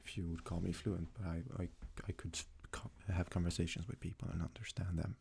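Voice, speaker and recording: A young man from Sweden, a native Swedish speaker, talks casually in a soft-spoken, hesitant ASMR style as he answers questions. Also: low voice, little pitch movement, brisk, vocal fry, a little hiss or hum. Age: young adult. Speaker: ASMR creator.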